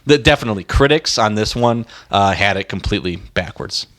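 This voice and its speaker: Low-voiced man in opinionated music chat. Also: expressive, a little fast, a bit bright. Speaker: music podcast co-host.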